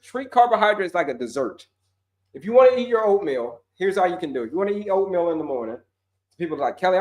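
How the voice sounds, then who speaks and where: A man coaching on diabetes, answering viewer questions in a casual, emphatic way, repeating points and dropping slang. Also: high voice. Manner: repetitive, emphatic, slangy, addresses viewers as folks.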